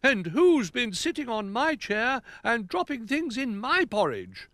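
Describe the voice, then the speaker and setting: A man voicing a brisk, bossy male teddy bear in a children's story, emphatic and instructing. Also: very high voice, wildly swooping pitch, a bit bright, no room sound, studio quality, pitched above the performer's own voice, full character voice. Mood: indignant.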